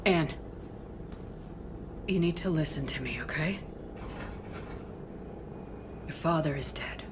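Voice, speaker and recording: A woman's voice: low-pitched, takes it slowly, noisy background. An actress playing a steely but compassionate female space commander in a video game, with a terse, authoritative manner. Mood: grave, gentle. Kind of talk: cinematic video game dialogue.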